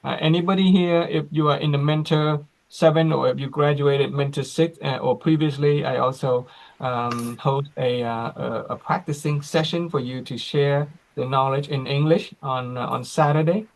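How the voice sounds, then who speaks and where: A man hosting an online class on a video call, slow and reflective, with frequent fillers. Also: on the high side, fairly even intonation, creaky, rough recording.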